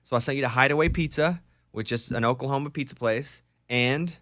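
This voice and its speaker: A male radio host from Arkansas with a bright, forceful voice and a mid-range pitch. He teases his guest playfully, and his voice is close and dry in the studio. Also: fairly quick, no room sound. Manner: teasing, playful. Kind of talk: radio interview chat.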